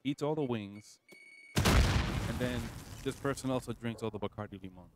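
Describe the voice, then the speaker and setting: A man's voice: medium pitch, moderate pace, over loud music. A man streaming a game, casually joking with friends. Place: indoor streaming setup. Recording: loud game noise behind voice.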